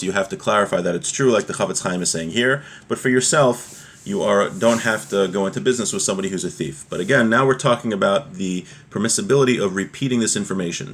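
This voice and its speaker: A man teaching an informal class on religious law, explaining a text step by step with everyday examples. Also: fairly low pitch.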